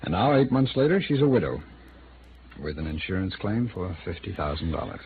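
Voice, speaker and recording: An actor playing a wry, evasive small-town attorney in a 1950s radio drama, speaking conversationally and a little hesitantly with an American accent. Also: male voice, sits low, very animated, a little fast. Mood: wry, knowing. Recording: muffled, noisy old radio drama recording.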